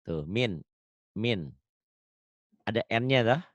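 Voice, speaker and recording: A man's voice: fairly low pitch, lively intonation, drawn-out words, no echo, dull audio. A man teaching Quran recitation online, patiently drilling pronunciation and laughing often. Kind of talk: online tajwid lesson.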